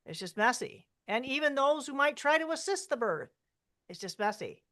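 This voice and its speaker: A woman's voice: high voice, sing-song, moderate pace, fairly bright tone. A woman co-hosting a podcast in casual chat, speaking in a matter-of-fact, emphatic way. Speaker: co-host.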